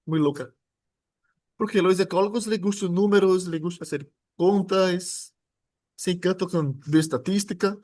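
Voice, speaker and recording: A man's voice: sits high, normal speed, darkish tone. A man from Brazil, a native Portuguese speaker with a Brazilian Portuguese accent, giving an academic seminar in an informal, joking way with frequent restarts. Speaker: guest lecturer.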